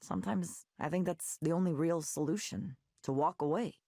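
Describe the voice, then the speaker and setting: A woman's voice: on the low side, normal speed, warm tone, dry recording, studio quality. An actress playing a frank, restless woman who works in tech, in a visual novel game, with an earnest, questioning delivery. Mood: troubled, questioning. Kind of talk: visual novel voice acting, conversational.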